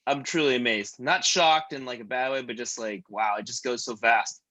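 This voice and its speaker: A man in his thirties leading a stretch class, joking between calm breathing cues. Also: mid-range voice, moderate pace. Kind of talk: guided stretching class with casual chat.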